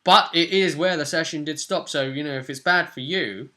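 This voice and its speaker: A man's voice: on the high side, normal speed, sharp tone. A man in his twenties chatting casually as host and game master about a tabletop game session, recorded indoors on a webcam. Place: small home room, indoors. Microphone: webcam at arm's length.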